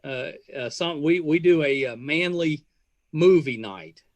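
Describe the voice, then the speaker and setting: A man's voice: on the high side, a little slow, no room sound. A middle-aged man hosting a casual interview, speaking in a folksy, chatty way. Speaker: podcast host.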